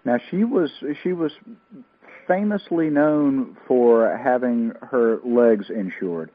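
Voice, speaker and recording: A man's voice: medium pitch, slow pace, narrow, tinny audio. A man hosting a radio interview, conversational and asking follow-up questions. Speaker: radio host.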